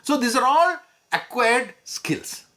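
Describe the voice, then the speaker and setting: A man's voice: very high voice, sing-song, slow pace, sharp tone. A middle-aged man from Kerala with a Malayali English accent, a vlogger talking through his thoughts and switching between Hindi and English, with fillers and restarts. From Kozhikode, Kerala, India. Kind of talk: casual personal commentary.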